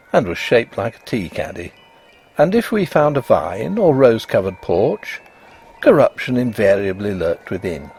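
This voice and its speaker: A man narrating a literary story in a measured, expressive storytelling manner, as for an audiobook. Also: medium pitch, gravelly, slightly rough.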